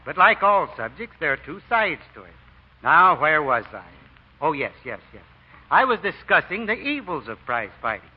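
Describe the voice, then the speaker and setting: A folksy, preachy old-time radio deacon with the smooth patter of a card sharp. His voice sits a little high and has a husky grain. It comes through a muffled vintage broadcast. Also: big pitch swings, normal speed. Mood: rousing, preachy. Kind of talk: 1930s-40s radio acting.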